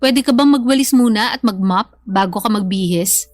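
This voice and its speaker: A woman's voice: medium pitch, normal speed, no room sound, soft background music, close to an everyday voice. An actress playing a cold, blunt, demanding estranged mother, curt and dismissive in a radio drama style.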